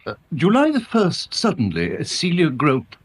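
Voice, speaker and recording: Radio drama newsman, male: helpful, slightly hesitant, higher voice, animated pitch. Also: measured pace, husky, heavily acted. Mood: eager, helpful. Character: eager, obliging local newspaper man reporting findings.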